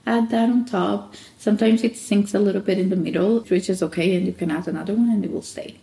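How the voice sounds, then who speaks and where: A woman's voice: medium pitch, fairly even intonation, fast talker, gravelly, echoey room, rough recording. A woman from Angola who owns a candle and soap shop, answering interview questions in a casual, chatty way with frequent laughs. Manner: warm laughing, chatty with self-quoting.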